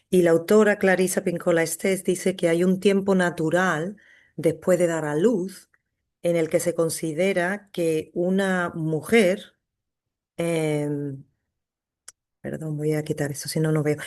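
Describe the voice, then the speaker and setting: Casting: woman, researcher presenting online, halting and conversational, video-call sound. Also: sits low, a little mellow. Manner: hesitant, many fillers and restarts. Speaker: psychotherapist and researcher giving an online talk. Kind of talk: academic talk, conversational.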